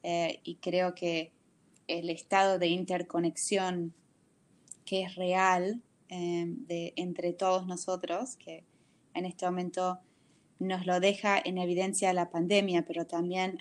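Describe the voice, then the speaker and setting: A woman in her forties from the United States with an American accent, speaking Spanish on a video call as an interview guest. She talks thoughtfully, searching for words with frequent pauses. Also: fairly low pitch, level tone. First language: English. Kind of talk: reflective video call conversation.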